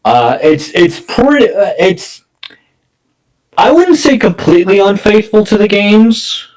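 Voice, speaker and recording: A man's voice: high voice, sing-song, measured pace, darkish tone, low-quality recording. A man co-hosting a podcast in casual film chat, speaking hesitantly and often correcting himself as he goes. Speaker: podcast co-host.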